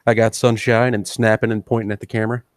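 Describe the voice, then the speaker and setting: A man co-hosting a crude casual chat show, loud and jokey, swearing freely. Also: on the low side, level tone, fast, dry recording, studio quality. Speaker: podcast co-host.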